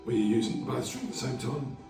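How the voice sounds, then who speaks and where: Hoarse man, easygoing guitar teacher, rough voice heard from across a room with his guitar going. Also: medium pitch, fairly even intonation, fast talker, distant mic. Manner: laid-back, rambling explanations. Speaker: market busker teaching guitar. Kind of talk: casual instructional talk. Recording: roomy sound, away from the mic, guitar playing behind.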